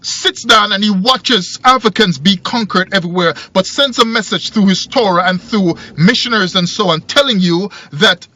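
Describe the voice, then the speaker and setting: A man's voice: high voice, normal speed, few pauses, sharp tone. A man in his forties who grew up in Jamaica, musing aloud to camera from inside a parked car, rambling and repeating himself as he thinks. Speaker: video commentator. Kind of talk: casual monologue to camera. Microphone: phone or camera on the dashboard. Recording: dull lo-fi sound in a car.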